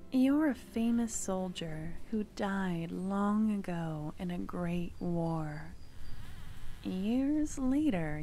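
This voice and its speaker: A woman giving calm, soft scripted narration to set the scene for an ASMR roleplay. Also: lowish voice, slight background noise. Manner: calm, soft, partly whispered.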